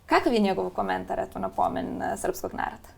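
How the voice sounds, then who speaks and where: A woman interviewer asking formal questions in a measured way, with hesitant fillers. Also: medium pitch, gravelly, darkish tone, husky.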